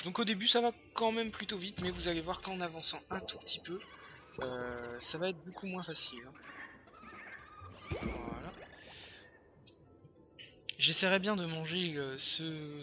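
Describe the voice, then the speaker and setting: A hoarse young man chatting casually over his own gameplay, excitable and full of fillers. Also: on the high side. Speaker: gameplay commentator. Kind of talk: casual let's-play commentary.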